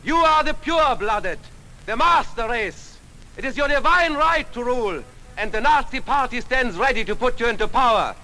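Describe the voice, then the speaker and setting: An actor playing a fanatical Nazi orator, declaiming menacingly and emphatically in a 1940s film style. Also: male voice, very high-pitched, animated, normal speed, sharp tone, full character voice. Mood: grandiose. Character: fanatical Nazi party orator stirring up a crowd. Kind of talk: 1940s film acting, rabble-rousing political speech. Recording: noisy old film soundtrack.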